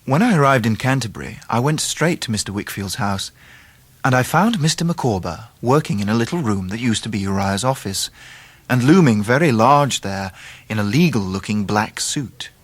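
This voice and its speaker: An actor playing an earnest, polite young Victorian English gentleman in a radio drama. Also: medium pitch, lively intonation, normal speed, dry recording, lightly acted. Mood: troubled, reflective. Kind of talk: radio drama narration and dialogue.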